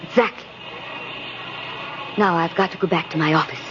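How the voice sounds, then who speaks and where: An actress playing a brisk, no-nonsense mother with a firm, formal manner in a 1950s radio drama. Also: lowish voice, steady tone, lightly acted. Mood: firm, earnest. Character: brisk, no-nonsense working mother who scorns fairy tales. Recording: muffled noisy old radio recording.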